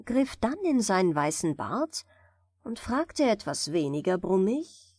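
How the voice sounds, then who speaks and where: A woman's voice: medium pitch, very animated, unhurried, a little mellow, no echo, studio quality. A woman storyteller narrating a scripted bedtime fairy tale for an audiobook.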